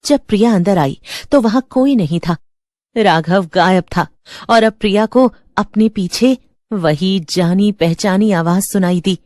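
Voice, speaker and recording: A woman's voice: medium pitch, unhurried, a little mellow, no room sound, studio quality. A woman narrator reading a scripted horror story in a dramatic storytelling style.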